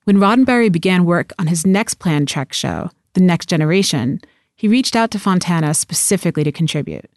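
A woman's voice: fairly low pitch, expressive, a little fast, no echo, clean studio sound. A woman reading scripted documentary narration as the narrator.

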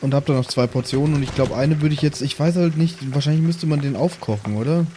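A man's voice: fairly high pitch, fast, mellow, slight background noise. A man chatting casually as a player in an improvised tabletop roleplaying game. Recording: music behind the voice. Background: background music.

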